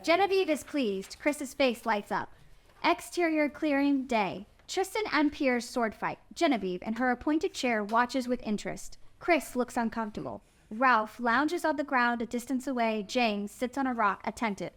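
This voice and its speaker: A woman's voice: a little high, expressive, normal speed. A woman narrating stage directions from a script at a table read.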